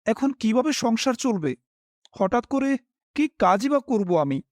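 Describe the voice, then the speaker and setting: A man's voice: very high voice, very animated, a little slow, no echo, studio quality, full character voice. A man playing a worried, dutiful family man who tells his own misfortune as a horror story, with dramatic, emotive delivery. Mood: despairing. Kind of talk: first-person horror story narration with acted dialogue.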